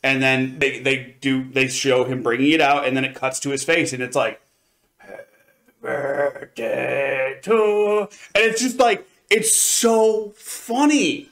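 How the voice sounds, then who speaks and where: A man's voice: medium pitch, flat delivery, slow, vocal fry, fairly bright tone. A man co-hosting a review show, chatting casually and enthusiastically in a hedging, conversational way. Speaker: podcast co-host reviewing anime.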